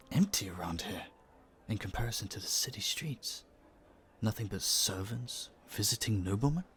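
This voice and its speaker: Low, creaky gentlemanly young prince acted by a man. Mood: explaining, wistful. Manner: playful, polite, slightly flustered, hesitant stammers. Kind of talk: romantic audio roleplay, speaking to a listener. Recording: faint noise, background ambience.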